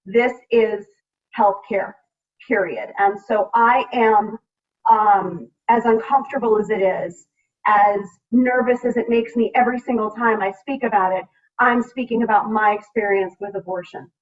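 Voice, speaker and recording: A woman's voice: mid-range voice, a little slow. An American woman legislator speaking earnestly and emphatically on a panel over a video call. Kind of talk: public panel remarks, speaking from personal conviction. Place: remote video meeting. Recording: distant, muffled video call audio.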